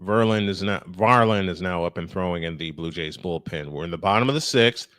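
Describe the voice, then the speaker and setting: A man's voice: sits low, a little fast, fairly bright tone, no room sound, studio quality. An American man doing baseball play-by-play mixed with casual chat, telling things in a folksy, repetitive way.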